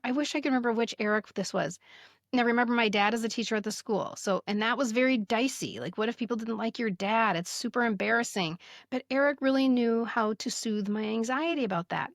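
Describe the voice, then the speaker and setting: A woman's voice: fairly high pitch, no echo. American woman podcaster, dry studio-like sound. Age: 50s. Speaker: podcast host. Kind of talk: casual chat, reading old yearbook messages aloud.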